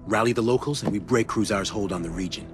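An actor playing a wry, earnest intelligence contact in a video game, briefing a soldier in a conspiratorial, colloquial way. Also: male voice, lowish voice, quick pace, music in the background, close to an everyday voice. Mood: keen. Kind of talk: video game cutscene dialogue.

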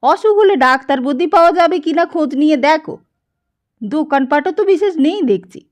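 A woman storyteller voicing a sharp-tongued, nagging wife who complains emphatically and with open disdain. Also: sits high, lively intonation, moderate pace, voice pushed up. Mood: nagging, exasperated.

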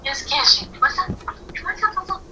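A woman's voice: high-pitched, big pitch swings, moderate pace, sharp tone, dull audio. A playful woman chatting casually while playing a multiplayer game with others. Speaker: player in a family multiplayer game. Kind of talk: casual gameplay chat. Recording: noisy home game recording.

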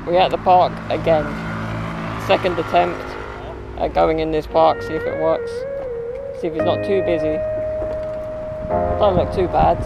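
A young man vlogging outdoors on suburban streets and in a park, chatting casually to a camera mounted low on his wheelchair, with playful boasts. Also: steady tone, measured pace, creaky, pauses often, dull audio, over loud music. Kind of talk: casual vlog chat to camera.

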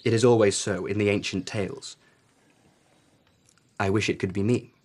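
A man's voice: lowish voice, steady tone, moderate pace, a little mellow, natural voice. A man playing a whimsical, romantic emperor of old Japan in a radio drama, with a breezy, regal manner. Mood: breezy, eager.

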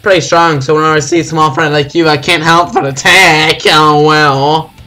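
Smug young forum troll played by a man, taunting game character, bright and higher-pitched. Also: fairly even intonation, soft background music. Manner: mocking, drawn-out spelled-out laughing acronym. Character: smug, taunting internet troll, first-year student.

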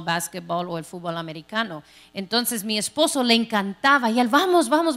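A middle-aged woman pastor from Texas preaching a sermon on a large church stage into a handheld mic, animated and playful, acting out quoted voices. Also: fairly high pitch. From Texas, United States. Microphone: handheld microphone.

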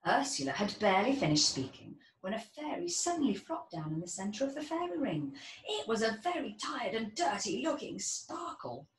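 A woman in her thirties reads a storybook to children with a lively, expressive delivery. She is in a roomy indoor space, a little way from the mic. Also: on the high side, moderate pace. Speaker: storybook reader. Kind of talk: children's read-aloud. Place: small indoor children's playroom. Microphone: camera mic about a metre away. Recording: roomy, distant mic, faint background noise.

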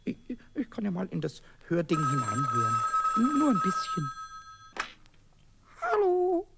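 A man playing a small, cheeky, childlike kobold in a children's radio play, squeaky and stammering in his excitement. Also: a little slow, gravelly, heavy background noise, exaggerated voice. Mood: nervous, eager. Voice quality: squeaky, shrill. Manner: stammering, exclaiming, agitated.

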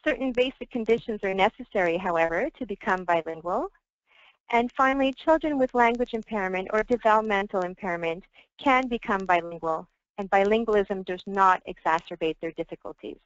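Woman explaining clearly on a muffled webinar line. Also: mid-range voice, brisk, dry recording. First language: French. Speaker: speech language pathologist. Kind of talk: webinar presentation.

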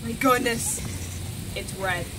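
A woman reading a picture book aloud in a theatrical, drawn-out way, outdoors and a little way from the camera's mic. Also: fairly high pitch, noisy background. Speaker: reader. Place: outdoors on a garden bench.

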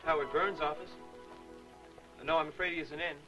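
A young actor playing a polite, eager office worker in a 1980s training film. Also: male voice, fairly high pitch, fairly even intonation, moderate pace, sharp tone, recorded from a distance, dull audio. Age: young adult. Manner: polite, obliging. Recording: 1980s film soundtrack with music.

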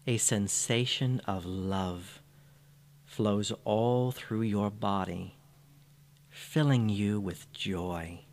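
A man narrating a scripted guided meditation in a soothing, gentle manner. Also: lowish voice. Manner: soothing, unhurried, gentle phrasing.

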